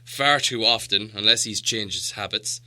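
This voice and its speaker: A man's voice: fairly low pitch, moderate pace, pushed and bright. A man voicing a proud, grumbling dwarf leader in a storybook, weighty and sceptical in manner. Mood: earnest.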